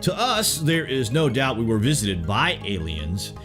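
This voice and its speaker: A man's voice: mid-range voice, sing-song, a little slow, over music. A man delivers scripted documentary-style narration in a dramatic way, posing rhetorical questions straight to the listener.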